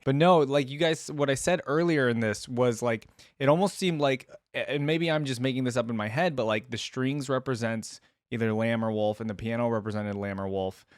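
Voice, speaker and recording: A man's voice: mid-range voice, no echo. Casual guy reacting, dry close sound. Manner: informal, thinking out loud. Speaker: reaction video host.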